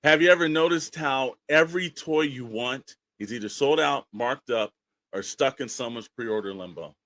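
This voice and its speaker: A man with an American accent chats as a podcast co-host, with a mid-pitched voice recorded very dry. He talks informally and leans on repeated phrases to drive his points home. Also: moderate pace, a bit bright, no echo. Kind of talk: casual podcast chat.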